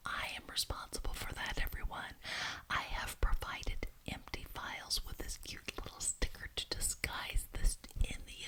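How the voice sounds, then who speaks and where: Whispering woman in a witch ASMR roleplay. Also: poor audio. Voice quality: breathy unvoiced whisper. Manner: deliberate, repeats words for emphasis. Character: genteel, officious witch chairing a neighborhood meeting.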